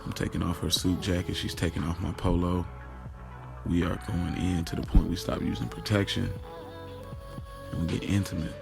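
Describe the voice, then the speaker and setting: Streetwise young man telling his story, real low gravelly voice, slangy, music under it. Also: level tone, normal speed, pauses often. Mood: candid, animated. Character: streetwise young man, candidly confessing his love affair. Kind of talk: first-person audio drama narration.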